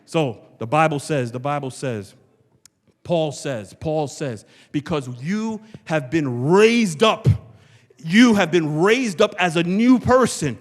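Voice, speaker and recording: A man's voice: a little high, lively intonation, takes it slowly. Emphatic male preacher in a reverberant hall. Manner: emphatic, rhetorical, repeats phrases for effect. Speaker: pastor preaching. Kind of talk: animated church sermon. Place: large church hall, before a congregation. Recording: long hall reverb, church sanctuary PA.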